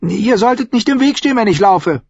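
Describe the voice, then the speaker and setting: A man's voice: sits high, animated, fairly quick, no room sound, muffled recording, voice pushed up. A man voicing a self-assured, curious young noble boy in an audiobook story, speaking formally and directly. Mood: demanding.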